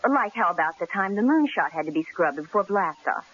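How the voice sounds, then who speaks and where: An actress playing a pert, inquisitive newspaper secretary in an old-time radio drama, arch and playful. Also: female voice, fairly low pitch, expressive, a little fast, fairly bright tone, slightly rough. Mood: curious, probing. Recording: dull, muffled old radio broadcast recording.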